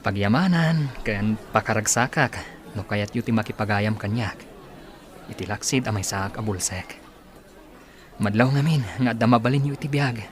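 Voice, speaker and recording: An actor with an Ilocano accent playing a humble, polite blind young singer and devoted son in a radio drama. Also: male voice, on the low side, normal speed, natural voice. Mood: humble, grateful. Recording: clear background noise, chirping crickets.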